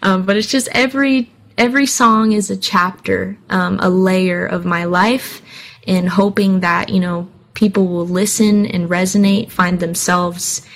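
A woman's voice: mid-range voice, steady tone, unhurried. A young woman from Hawaii, a singer telling her life story in an interview, candid and earnest, with frequent fillers. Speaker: singer guest. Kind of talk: personal interview answers.

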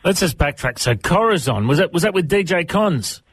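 A man hosting a radio interview, asking relaxed, conversational questions. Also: high voice, moderate pace, breathy edge, no echo, studio quality.

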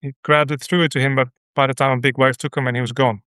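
A middle-aged man from the Netherlands with a Dutch accent, a radio guest telling a personal story in an earnest, halting way with many restarts. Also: mid-range voice, level tone, fairly bright tone, clean tone, no room sound, clean studio sound. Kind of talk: conversational interview.

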